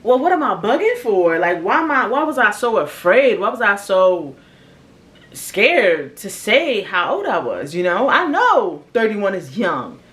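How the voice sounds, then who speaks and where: A woman's voice: medium pitch, very animated, measured pace, a bit bright, a little hiss or hum. A woman in her early thirties with an American accent, talking candidly and casually to her viewers in slangy, conversational language. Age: early 30s. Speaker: vlogger. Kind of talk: casual personal reflection to viewers.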